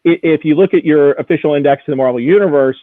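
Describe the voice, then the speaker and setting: A man's voice: a little high, fast talker, no echo. A man giving a conversational, enthusiastic expert commentary as he previews collectible items on a live show. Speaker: auction house executive as guest expert. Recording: narrow, phone-like radio-style sound.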